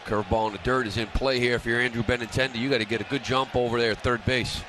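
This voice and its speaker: A man's voice: mid-range voice, steady tone, fast. A man giving informal color commentary on a radio baseball broadcast, talking colloquially with slang and nicknames. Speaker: color analyst. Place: ballpark broadcast booth. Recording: radio broadcast with loud ballpark crowd noise.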